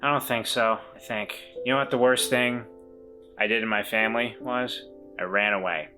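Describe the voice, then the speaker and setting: Woman voicing a deep-voiced remorseful weasel man. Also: steady tone, music in the background. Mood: ashamed. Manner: halting, reflective, gently encouraging.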